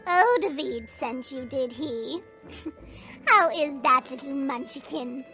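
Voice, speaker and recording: A woman's voice: sits high, lively intonation, slow, bright, forceful tone, soft background music, heavily acted. A woman voicing a small, eccentric old goblin shaman who is cheerful and chatty, in a fantasy audio roleplay. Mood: amazed, playful.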